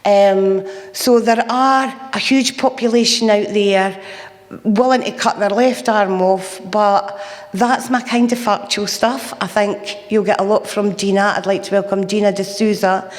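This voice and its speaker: A woman's voice: medium pitch, steady tone, unhurried. A woman chairing a conference session, introducing the next speaker in a hesitant, informal way with fillers. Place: conference hall. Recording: long reverb of a hall.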